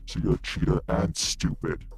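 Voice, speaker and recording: A man's voice: normal speed, a little mellow, faint music behind, close to an everyday voice. A man playing a brash, menacing demon biker who taunts, threatens and swears in an audio roleplay. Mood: mocking, threatening. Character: brash, menacing male demon biker, sarcastic and foul-mouthed.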